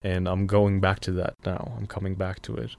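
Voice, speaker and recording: A man's voice: low voice, level tone, normal speed, creaky, a little mellow, dry recording. A young man from Syria with an American accent, whose first language is Armenian, talking thoughtfully in a casual studio conversation and searching for his words. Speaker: podcast guest.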